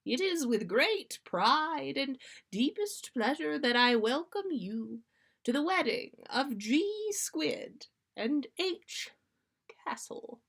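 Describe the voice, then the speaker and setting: Lady doing a storybook wedding officiant, high voice, really slow and grand, all ceremony. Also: very animated, creaky, fairly bright tone, full character voice. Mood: solemn pride. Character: solemn, joyful wedding officiant at a whimsical ceremony. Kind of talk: storybook reading.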